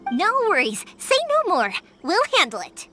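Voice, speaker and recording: A bubbly, caring young woman from an anime game. Her very high voice bounces up and down, impulsive and reassuring, with light music underneath. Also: wildly swooping pitch, moderate pace, full character voice. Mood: friendly, reassuring. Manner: bright-spirited, impulsive, reassuring. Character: Yoimiya, bubbly, caring young female fireworks maker. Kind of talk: anime video game voice acting.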